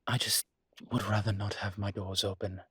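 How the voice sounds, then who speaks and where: A man playing a weary, guarded winged demon in an intimate roleplay audio drama, speaking in a husky, breathy voice with dry, halting phrasing. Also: on the low side, flat delivery, very noisy, lightly acted.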